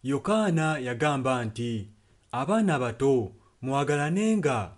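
A man's voice: medium pitch, very animated, normal speed, a little hiss or hum. A Ugandan man interpreting a sermon earnestly, phrase by phrase. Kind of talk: consecutive sermon translation. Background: music.

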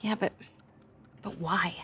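A woman's voice: on the low side, fairly even intonation, slow, sharp tone, slight background noise. An actress playing a wry, confident, teasing young woman in a naturalistic video game scene. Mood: earnest. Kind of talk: naturalistic game cutscene acting.

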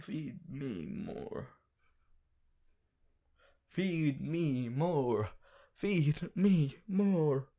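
Man playing a teenage anime boy, dramatic, whiny, goofy, slangy, very animated pitch, slow pace, audio roleplay. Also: heavily acted. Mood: melodramatic despair. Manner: melodramatic, drawn-out, slangy. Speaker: voice actor in fan audio roleplay. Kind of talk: fan audio roleplay addressed to the listener.

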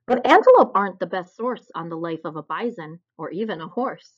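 A woman reading a children's picture book aloud in an expressive storytelling style. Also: lowish voice, brisk, breathy edge. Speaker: storybook reader. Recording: dull, lo-fi recording.

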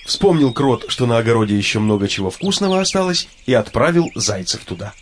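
A man's voice: mid-range voice, lively intonation, a little fast, noisy background. A man narrating a children's cartoon in a storybook style.

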